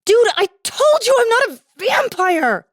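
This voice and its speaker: A woman voicing a nervous, sarcastic nineteen-year-old college girl in an audiobook, given to squeaks and hushed asides. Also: big pitch swings, unhurried, no room sound, clean studio sound, exaggerated voice. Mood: indignant. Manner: squeaky exclamations, nervous whispers, sarcastic slang. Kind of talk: audiobook character acting.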